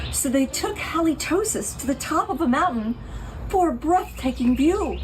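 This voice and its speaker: A woman reading a children's picture book aloud in an expressive, deliberate way, with playful asides to her listeners. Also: a little high, background noise. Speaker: picture book reader for a pet rescue show. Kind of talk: animated children's storybook read-aloud.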